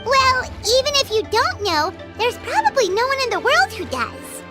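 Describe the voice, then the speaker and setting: A woman voicing a tiny, excitable female companion creature who chatters and talks about herself in the third person. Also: very high voice, moderate pace. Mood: curious. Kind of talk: video game voice acting. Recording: game audio with music behind.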